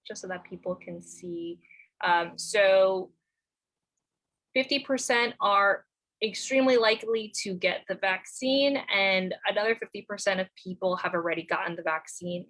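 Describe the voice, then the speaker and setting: A woman hosts an online info session and introduces speakers. Her pitch sits in the middle and she speaks in a plain, announcing way. She switches easily between two languages. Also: unhurried, fairly bright tone, rough recording. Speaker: event host. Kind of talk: bilingual event moderation.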